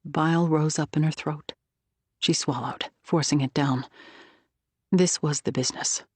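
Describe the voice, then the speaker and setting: Female audiobook narrator for wartime thriller: lower-pitched, slow, husky, scripted dramatic read. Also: fairly even intonation, no room sound.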